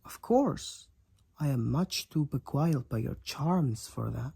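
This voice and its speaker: A man voicing a devoted, protective vampire boyfriend with courtly old-fashioned phrasing and a husky, creaky voice, in an intimate roleplay audio. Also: mid-range voice, animated, a little slow, warm tone, no echo, exaggerated voice. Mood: playful, charming. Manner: archaic, courtly phrasing, tender endearments. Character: devoted, overprotective young-looking male vampire boyfriend, 128 years old.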